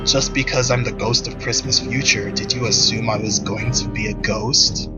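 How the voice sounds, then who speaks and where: A man's voice: lowish voice, steady tone, moderate pace, dull audio, natural voice. Guy doing a stern formal ghost, solemn and cryptic, visual novel read with music under it. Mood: stern, commanding. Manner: plain-spoken, formal, solemn.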